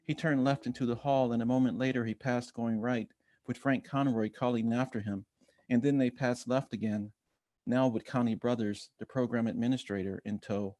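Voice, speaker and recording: An American man reading a personal essay aloud in measured literary narration, voicing bits of quoted dialogue as he goes. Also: mid-range voice, steady tone, a little mellow, no echo. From Chicago, United States. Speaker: guest essayist reading own work.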